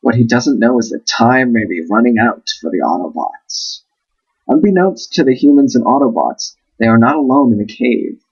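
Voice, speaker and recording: A man reads a children's adventure story aloud in a husky voice at a middling pitch. He is playful and drops in wry asides. The recording is a little dull and lo-fi. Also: sing-song, normal speed. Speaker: host reading a book aloud.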